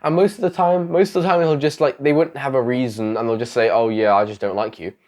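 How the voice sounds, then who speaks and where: A man's voice: mid-range voice, steady tone, quick pace, a little mellow. Sick young man rambling vlog advice. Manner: rambling, self-correcting, repeats phrases. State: sick, voice going. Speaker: YouTuber giving advice. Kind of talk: casual talking-head advice vlog.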